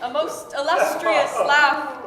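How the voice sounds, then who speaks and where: A woman's voice: sits high, steady tone, slow pace, fairly bright tone. A woman narrating a staged 1930s-style radio drama in a gleeful, theatrical storytelling manner. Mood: amused. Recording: echoey hall, faint noise.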